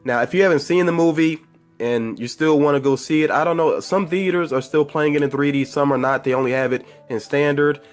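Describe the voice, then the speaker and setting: A man's voice: fairly high pitch, a little fast, faint music behind. A man with a cold and a congested voice gives a casual, rambling movie review, full of fillers and self-corrections.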